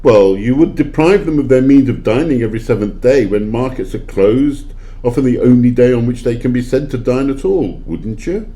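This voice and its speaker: Anxious miser, acted by a man, lively intonation, soft dark tone, earnest, agitated, audio drama. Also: medium pitch, normal speed, lightly acted. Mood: questioning. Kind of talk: audio play acting.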